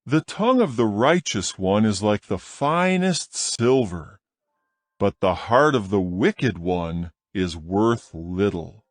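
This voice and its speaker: A man reading proverbs from scripture in a slow, measured, solemn manner as a studio voice-over. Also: on the low side, lively intonation, dry recording. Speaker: scripture reader.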